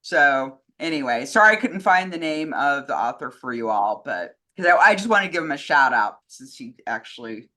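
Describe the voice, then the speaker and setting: A woman's voice: sits low, animated, moderate pace, few pauses, bright, forceful tone. A woman co-hosting a talk show in a casual, conversational style, speaking off the cuff. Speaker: co-host, communications director fielding questions. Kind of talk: casual chat.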